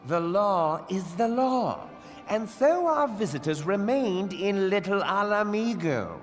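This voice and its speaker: A shrewd, haughty merchant lord for a fantasy game cutscene. He draws out his formal words slowly, with swooping, condescending emphasis. Music plays beneath the voice in a long reverberant hall. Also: high-pitched, very animated, exaggerated voice. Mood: mocking. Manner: pompous, condescending, measured formal speech.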